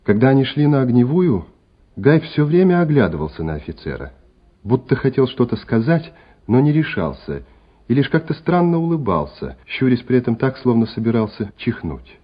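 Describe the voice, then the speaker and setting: A man's voice: mid-range voice, big pitch swings, moderate pace, breathy edge. A man reading scripted dramatic narration as the narrator of a radio play. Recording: muffled old radio recording. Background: music.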